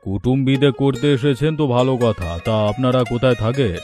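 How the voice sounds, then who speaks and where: A man's voice: mid-range voice, brisk, darkish tone, close to an everyday voice. A man acts out an older village father in a cartoon. He speaks in a wary, questioning manner. Music runs behind the voice. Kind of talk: Bengali cartoon dialogue.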